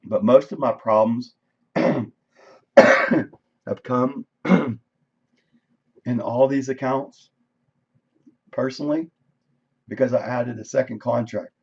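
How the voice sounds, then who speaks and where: A man reviewing his day's trades on a live stream, casual and thinking aloud, with a voice that is going hoarse. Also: medium pitch, steady tone, takes it slowly, long pauses, darkish tone, dull audio. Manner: conversational, thinking aloud, frequent "right?". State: losing his voice, coughing. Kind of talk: casual screen-share trade recap. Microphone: desk microphone.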